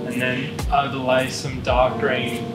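A man's voice: mid-range voice, monotone, slow pace. A young man who owns a custom paint shop, explaining plainly in short, matter-of-fact sentences. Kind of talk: casual explanation. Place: paint workshop, indoors. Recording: echoey workshop with loud music.

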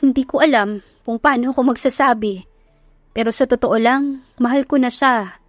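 A woman's voice: on the high side, moderate pace, no room sound, soft background music, pitched above the performer's own voice, lightly acted. A woman voicing a lovestruck, doubtful young woman in an audio romance, confiding in an earnest, worried way. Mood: worried, tender.

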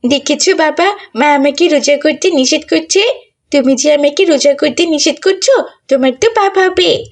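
A sly young schoolgirl from a children's cartoon, acted by a woman. Her voice is very high, and she whines and pleads dramatically as she makes excuses. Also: normal speed. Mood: complaining.

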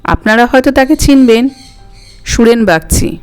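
A woman voicing a polite, hesitant elderly man on the telephone in an audio story, halting and quavery, with music behind. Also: a little high, animated, a little mellow, smooth, close to an everyday voice. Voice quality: quavering, aged. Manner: halting, roundabout, courteous.

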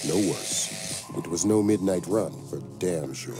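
An actor playing a world-weary, regretful spymaster with an American accent, narrating reflectively in a video game. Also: male voice, low voice, expressive, measured pace. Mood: somber, regretful. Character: somber, world-weary older male spymaster, loyal and regretful. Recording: music behind the voice.

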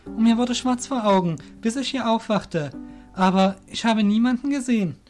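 An earnest, puzzled young male captive put on by a male narrator in a fantasy audio play. The voice is pitched well up, with faint music behind it. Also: moderate pace, darkish tone, close to an everyday voice. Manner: questioning, earnest. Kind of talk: fantasy audio drama character voice.

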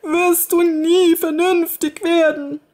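A woman voicing a fretful old nursemaid who cries out in high, drawn-out laments. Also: level tone, a little mellow. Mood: wailing.

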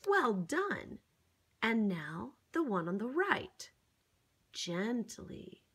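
Very animated, high-pitched woman reading to children. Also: extremely slow, husky. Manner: theatrical, with exaggerated gasps and exclamations; encouraging, coaxing, addressing the child listener. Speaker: children's storybook reader. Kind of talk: interactive picture-book read-aloud for young children.

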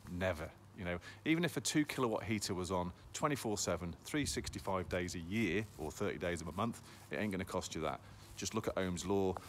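A deep-voiced man in his fifties talks casually into a phone at arm's length outdoors, peppering his talk with ums and okays. Steady noise sits clearly behind him. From England. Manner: chatty, lots of ums and okays. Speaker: hot tub dealer. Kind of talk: casual selfie sales vlog. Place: outdoors at a showroom yard in snow.

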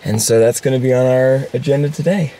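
A man's voice: medium pitch, steady tone, a little fast. A young man talking casually to his camera on a road trip, dry and joking. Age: young adult. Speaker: vlogger. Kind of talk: casual travel vlog. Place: in a pickup truck and outdoors.

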